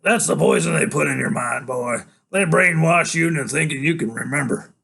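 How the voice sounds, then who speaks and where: Man acting a menacing, gravelly killer father. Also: medium pitch, big pitch swings, normal speed, a bit bright, full character voice. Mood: coaxing menace. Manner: menacing, dismissive, insistent. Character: cold menacing killer father, denying and manipulative. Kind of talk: dramatic audiobook character acting.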